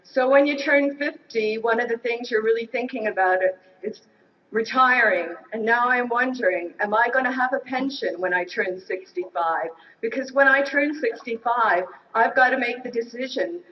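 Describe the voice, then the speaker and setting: A woman in her fifties speaks at a floor mic with a fairly high voice, earnest and building to forceful. The sound is distant and dull, with echo from a big hall. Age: 50s. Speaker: union delegate running for president. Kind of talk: impassioned convention floor speech. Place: large convention hall. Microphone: floor microphone.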